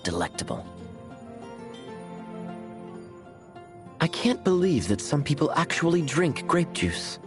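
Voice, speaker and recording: A man playing a suave, teasing cavalry captain in a video game, with a smooth, theatrical drawl. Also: on the high side, big pitch swings, normal speed. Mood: suave, indulgent. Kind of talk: video game character voice lines. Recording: studio voice over background music.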